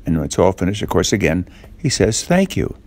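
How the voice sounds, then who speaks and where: A man telling a children's story off the cuff, in a halting way with little questions to the listener. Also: low voice, sing-song, darkish tone.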